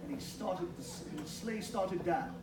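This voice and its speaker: A man's voice: high voice, lively intonation, a little slow. A man reading a storybook aloud expressively, like a father at home. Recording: noisy home recording, distant mic, echoey room.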